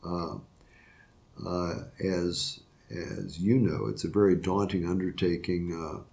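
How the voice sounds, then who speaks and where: A man's voice: deep voice, gravelly, warm tone. An older man, a retiring judge from Florida, answering interview questions reflectively, with frequent restarts and fillers. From Florida, USA. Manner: halting, many restarts and fillers. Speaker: retiring circuit court judge.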